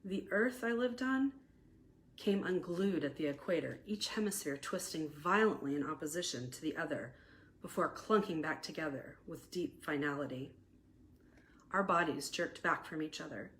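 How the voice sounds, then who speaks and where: A middle-aged American woman reads a first-person romantic memoir aloud in an expressive, deliberate storytelling manner. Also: low-pitched, rough recording. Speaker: author reading own novella aloud.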